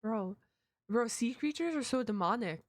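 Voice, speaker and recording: A woman's voice: fairly high pitch, lively intonation, normal speed. A woman chatting casually as a reaction streamer, informal and slangy, often exclaiming. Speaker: reaction video host, streamer.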